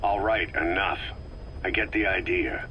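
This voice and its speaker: An actor playing a gruff, skeptical military interrogator in a video game, curt and dryly sardonic. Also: male voice, sits low, steady tone, unhurried, pushed and bright. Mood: dry, dismissive. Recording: noisy cassette tape recording.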